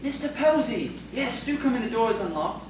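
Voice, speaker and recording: A man's voice: very high-pitched, lively intonation, brisk. Prim fretful schoolmaster, very echoey, acted by a man. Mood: fretful, bewildered. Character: timid, fussy, superstitious male village schoolmaster. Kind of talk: audio theatre acting. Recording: very echoey, distant, noisy stage recording.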